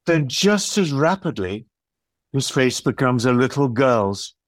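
A man reading scripted narration for an audio play in a measured storytelling manner. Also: mid-range voice, big pitch swings, no room sound, studio quality.

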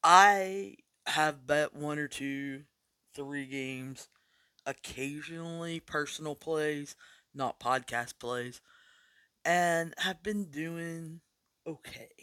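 A man hosts a sports betting podcast, talking through his picks slowly and casually. His voice sits a bit high and still sounds worn from losing it recently. The recording is dry and close. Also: pushed and bright, smooth, dry recording. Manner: casual, chatty, deliberate picks. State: recovering from losing his voice, coughing. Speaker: podcast host.

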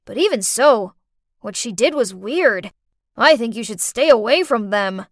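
A woman's voice: fairly high pitch, expressive, a little slow, dry recording, studio quality. A woman voicing a male friend who gives frank, emphatic advice. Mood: concerned.

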